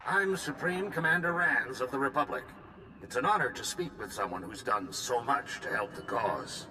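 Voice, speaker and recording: A man's voice: medium pitch, animated, normal speed, bright, forceful tone, rough recording. An actor playing a male supreme commander giving a formal, urgent mission briefing in a video game cutscene. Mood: earnest. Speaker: military commander.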